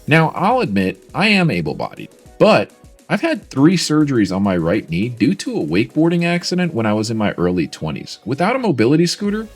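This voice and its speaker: A man's voice: medium pitch, breathy edge. A man, presenting a scripted product video in an upbeat, salesy way. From Florida, USA. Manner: upbeat, salesy, lively intonation. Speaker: mobility scooter company presenter.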